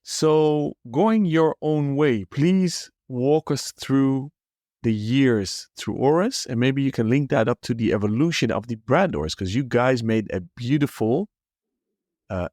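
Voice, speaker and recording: A man's voice: medium pitch, expressive, measured pace, a little mellow, no room sound, clean studio sound. A man co-hosting a watch podcast, asking long winding questions in a friendly, teasing way. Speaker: podcast co-host. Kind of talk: casual interview hosting.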